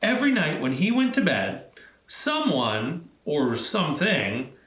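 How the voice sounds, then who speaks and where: Male children's storyteller, unhurried and expressive, lively pitch swings, simple phrasing, echoey room. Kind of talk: storybook narration and talk to children.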